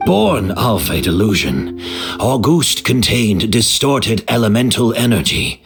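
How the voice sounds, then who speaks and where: An actor playing a weary, measured male perfumer in a game, explaining things gravely. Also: lowish voice, big pitch swings. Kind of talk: game voice acting. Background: game music.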